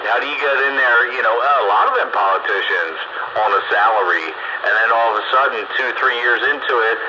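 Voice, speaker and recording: A man's voice: mid-range voice, brisk. Man, blunt and coarse, casual political talk; lo-fi radio-style sound with heavy noise. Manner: blunt, salty language. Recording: muffled, noisy radio-style audio.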